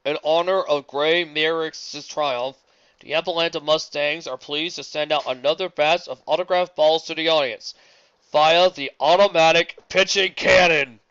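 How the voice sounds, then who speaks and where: A woman's voice: low-pitched, steady tone, a little slow, a bit bright, dull audio, voice pushed up. A woman doing a formal stadium announcer. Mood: announcing.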